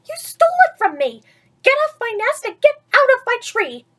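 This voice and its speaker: Lady putting on a screechy high storybook bird, bossy and selfish, swoops way up. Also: sing-song, normal speed, a bit bright, heavily acted. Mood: furious. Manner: screeching, indignant. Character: lazy selfish female bird, shrill and demanding.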